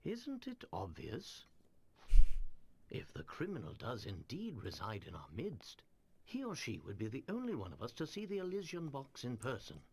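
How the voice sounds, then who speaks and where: An actor playing a calm, gentlemanly detective in a video game cutscene, speaking in a measured, formal way. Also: male voice, mid-range voice, slightly rough, exaggerated voice. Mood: deductive, assured. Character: calm, gentlemanly adult detective, unhurried and sure.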